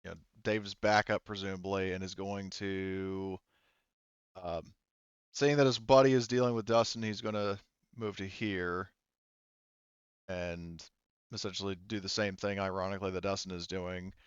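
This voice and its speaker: A man acts as game master in a casual tabletop session. His voice is on the deep side and clear, and he talks informally, reasoning aloud and swearing now and then. The sound is dry and slightly dull. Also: flat delivery, normal speed, no room sound.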